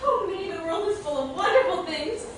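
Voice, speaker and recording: A woman's voice: very high-pitched, animated, a little fast. A woman on stage playing a gushing, cheerful lady shop owner in a theatrical style. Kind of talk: theatrical stage comedy acting. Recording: very echoey, noisy hall from far off.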